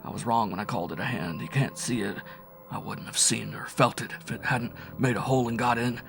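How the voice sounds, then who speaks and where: A man's voice: mid-range voice, brisk, creaky, over music, close to an everyday voice. A man voicing a delirious, terrified young man who sobs and cries out wildly. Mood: raving. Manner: sobbing, crying out wildly.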